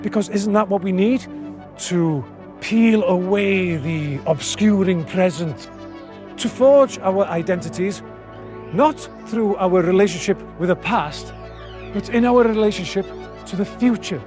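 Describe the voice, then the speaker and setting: A man delivering scripted, poetic narration in rhetorical, measured phrases. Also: high voice, darkish tone, faint music behind.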